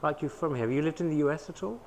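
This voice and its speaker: Brisk, quick-talking male interviewer. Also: a little high, a little mellow, slight background noise. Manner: brisk, friendly questioning. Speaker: interview host. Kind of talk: casual interview hosting.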